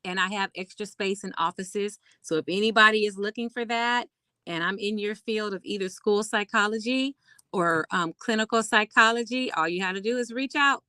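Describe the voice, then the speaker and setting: Host: middle-aged woman, bright chatty voice, emphatic, close dry mic. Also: medium pitch, normal speed, clean tone, no echo. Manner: emphatic, chatty, laughs often. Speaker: clinical psychologist and host. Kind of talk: casual talk on mental health.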